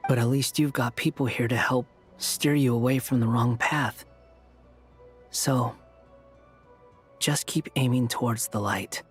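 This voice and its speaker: A man voicing an aloof, guarded young puppet wanderer in a video game, speaking in a cool, measured way. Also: medium pitch, steady tone, lightly acted. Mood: firm, sober. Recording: game music behind.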